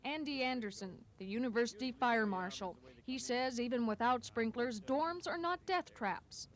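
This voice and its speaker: A woman's voice: fairly high pitch, normal speed. A woman TV news reporter narrating a scripted report on an archival 1980s broadcast.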